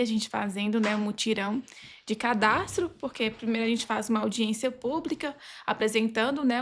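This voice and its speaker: A woman with a Brazilian accent speaking as a podcast guest, sharing experiences from her job in a conversational, anecdotal style. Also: a little high. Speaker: podcast guest, legal advisor.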